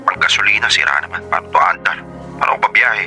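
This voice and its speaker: An actor playing a brusque, grumbling fiancé on a phone call in a Filipino radio drama, curt and impatient. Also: male voice, on the low side, quick pace, sharp tone. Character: brusque, grumbling, money-short tricycle-driver fiancé. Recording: music under the voice. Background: background music.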